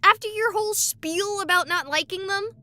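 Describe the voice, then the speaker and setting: A woman's voice: extremely high pitch, moderate pace, pushed and bright. Sarcastic young streamer girl. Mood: skeptical. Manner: sarcastic, flustered. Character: young woman streamer, skeptical and rattled. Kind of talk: visual novel voice acting.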